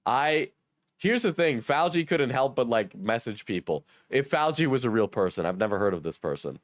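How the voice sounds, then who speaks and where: Hoarse, sick young man streamer, dry mic. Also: mid-range voice, big pitch swings, moderate pace, no echo. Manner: joking, self-mocking, swears. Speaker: streamer reading chat. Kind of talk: casual livestream chat.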